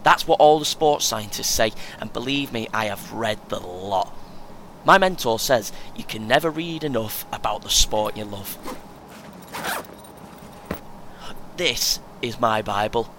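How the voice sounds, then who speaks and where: A man acting a bright-voiced, boastful young British runner. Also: expressive, moderate pace. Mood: eager, preachy. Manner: earnest, self-important, chatty, chuckles often. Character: chatty, boastful, eager young amateur marathon runner. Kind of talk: audio play dialogue. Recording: faint vehicle noise behind. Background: vehicle rumble, coach ambience.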